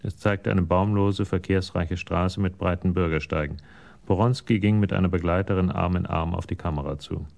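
A man's voice: low-pitched, steady tone, moderate pace, vocal fry, a little mellow. A man giving sober scripted narration as the narrator of a German radio crime story.

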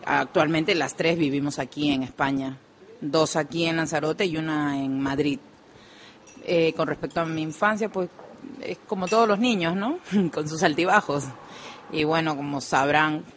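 A woman in her thirties with a Peruvian Spanish accent, a guest on a radio interview. She speaks in a calm, matter-of-fact way. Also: low voice, moderate pace, a little hiss or hum. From Peru. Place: local radio studio.

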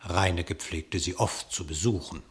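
A man narrating a radio play in verse, expressive and theatrical, with measured diction. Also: low-pitched.

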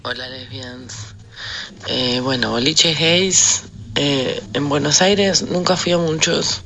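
Creaky, bright, low-voiced Argentine woman. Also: slow. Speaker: listener sending an audio message. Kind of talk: casual personal anecdote. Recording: voice message with faint background noise.

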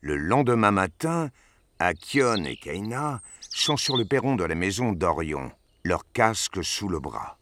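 A man's voice: sits low, very animated, measured pace, a bit bright, a little hiss or hum, exaggerated voice. A man reading scripted narration for an audio drama as its storyteller.

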